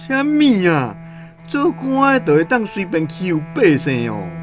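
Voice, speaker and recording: A man's voice: sits high, wildly swooping pitch, unhurried, over music, exaggerated voice. A man with a Taiwanese accent playing a poor, honest, aggrieved vegetable seller in his thirties in a radio drama. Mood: indignant. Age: 30s. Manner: plaintive, protesting.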